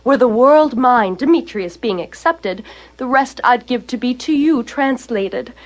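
A woman's voice: a little high, expressive, measured pace, rough recording. An actress playing a lovesick, envious young Athenian woman in Shakespearean audio theater, speaking verse plaintively and emphatically. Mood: envious, pleading. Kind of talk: full-cast Shakespearean audio theater acting.

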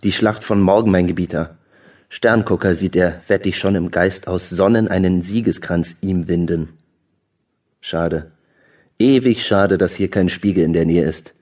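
A brisk court officer with a low voice, declaiming classical verse with formal stage diction and a mocking edge. He addresses his lord in an audiobook drama. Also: darkish tone, recorded from a distance.

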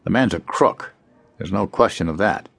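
A man's voice: low voice, normal speed, gravelly, fairly bright tone, husky, no room sound, heavily acted. An American actor playing a worldly, blunt male prosecutor in a radio drama, wry and emphatic. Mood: blunt, knowing.

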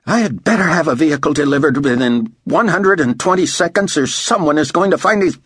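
A man's voice: fairly high pitch, big pitch swings, normal speed, a bit bright, breathy edge, no room sound, studio quality, pitched above the performer's own voice, heavily acted. A man voicing a tense, impatient male director in an audiobook, barking curt demands. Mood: peeved. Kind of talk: audiobook character voice.

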